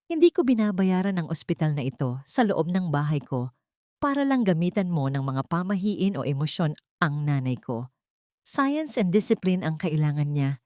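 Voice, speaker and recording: A woman's voice: on the low side, mellow, no echo, studio quality. A woman voicing a cold, arrogant rich man who talks down to others in a commanding, contemptuous way.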